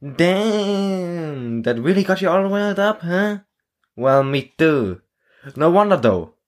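A man playing a friendly young male soldier in a war story, chatty and eager. His banter is casual and chummy, pitched above the man's own voice. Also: sing-song, takes it slowly, exaggerated voice.

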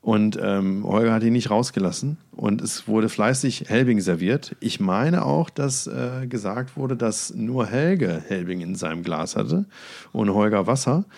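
A man's voice: on the low side, animated, a little slow, vocal fry, a little mellow. A man telling anecdotes as a podcast co-host, hesitant and wryly understated.

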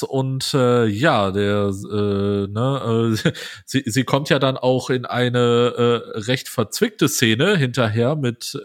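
A man co-hosting a podcast, chatting casually with frequent hesitations and filler words. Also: mid-range voice. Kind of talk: casual chat.

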